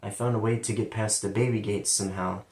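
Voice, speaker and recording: A man's voice: lowish voice, steady tone, quick pace, a little mellow. A man with a central Ohio accent, sometimes saying L as a W, telling his life story in a casual, rambling monologue. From London, Ohio, USA. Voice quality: sometimes turns L into a W sound. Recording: roomy, distant from the mic.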